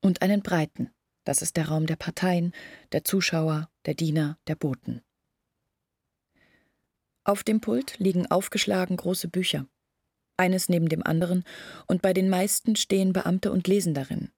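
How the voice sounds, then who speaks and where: An actress playing an earnest village woman in a radio play, explaining things in a measured, husky voice. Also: on the low side, darkish tone, dry recording. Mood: troubled, insistent. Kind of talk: literary radio play monologue.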